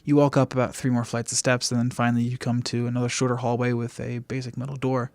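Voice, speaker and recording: A man's voice: medium pitch, level tone, fast, vocal fry, darkish tone, no echo. A man acting as game master, improvising narration for a tabletop game in a conversational way.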